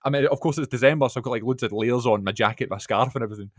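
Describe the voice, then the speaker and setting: A man co-hosting a podcast, telling an excited story in casual chat. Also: medium pitch, no echo, studio quality. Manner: fast, animated storytelling. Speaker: podcast co-host.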